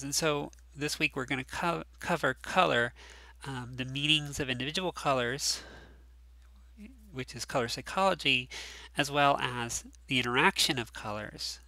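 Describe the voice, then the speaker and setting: A man's voice: medium pitch, vocal fry, sharp tone, dry recording. A man teaching a recorded class lecture, sounding nasal and stuffed up from allergies, talking casually with lots of ums and restarts. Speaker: course instructor.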